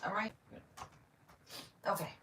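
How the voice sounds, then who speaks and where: A woman's voice: slow pace, noisy background. An older woman doing a casual livestream tarot reading, chatty and blunt, interrupting herself and swearing freely. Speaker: tarot reader.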